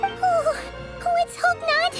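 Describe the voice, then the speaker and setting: A woman voicing a small, chatty, easily spooked fairy girl companion in a video game, whiny and excitable. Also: very high voice, very slow, heavily acted. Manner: refers to self in third person, whiny, excitable. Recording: loud background music.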